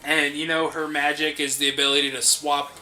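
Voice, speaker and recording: Bright, lively-voiced young man chatting. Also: fairly high pitch, brisk. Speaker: co-host of a gaming show. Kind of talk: casual chat during video game commentary. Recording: game music behind the voice.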